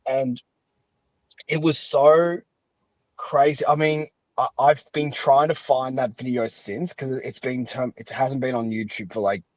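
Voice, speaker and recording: A young man hosts a casual podcast conversation. He rambles and reminisces with enthusiasm, starting and restarting thoughts as he goes. His voice is mid-pitched and recorded very dry. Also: fairly even intonation, unhurried, no echo, low-quality recording. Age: young adult. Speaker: podcast host. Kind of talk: casual chat interview.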